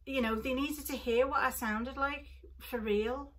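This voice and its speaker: A woman's voice: sits high, fairly even intonation, a little slow, a bit bright. Middle-aged canadian-accent lady rambling on about her crafts at her desk, mic a little far off. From England, Liverpool. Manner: chatty, digressive, laughs easily. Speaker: knitting podcast host. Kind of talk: casual chat to camera. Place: home craft room, indoors.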